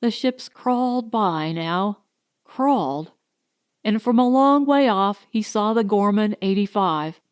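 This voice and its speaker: A woman reading a science fiction audiobook as a dramatic narrator, with deliberate, suspenseful, weighted phrasing. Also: mid-range voice, expressive, smooth, no echo, studio quality.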